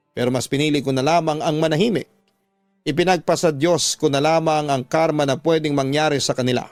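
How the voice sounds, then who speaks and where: Filipino man narrating over music, higher-pitched voice. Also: darkish tone, no room sound. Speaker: radio drama narrator reading a listener's letter. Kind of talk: dramatic scripted first-person storytelling.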